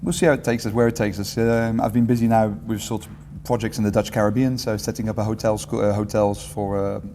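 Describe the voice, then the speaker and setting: A man in his forties, a teacher being interviewed, answering in a relaxed, rambling way with light humor and frequent self-corrections. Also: lowish voice, quick pace, big-room sound, a little hiss or hum. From England. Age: 40s. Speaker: guest, entrepreneurship teacher.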